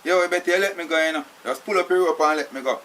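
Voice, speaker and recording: A man's voice: fairly high pitch, very fast, fairly bright tone, a little hiss or hum, lightly acted. A man playing a streetwise captive who is tied up, speaking in Jamaican Patois, irritable and constantly adding "you know". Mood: demanding.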